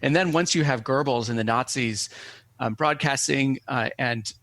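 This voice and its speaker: A man's voice: mid-range voice, moderate pace, a bit bright, dry recording. A man speaking as an academic interview guest, explaining things in a scholarly, discursive way with frequent self-corrections.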